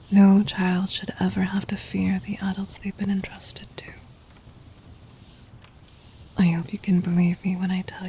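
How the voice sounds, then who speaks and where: A woman playing a gentle, doting demon queen in an ASMR roleplay, in a soft breathy whisper with tender, formal, intimate delivery. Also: medium pitch, slight background noise, lightly acted. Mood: tender, sorrowful.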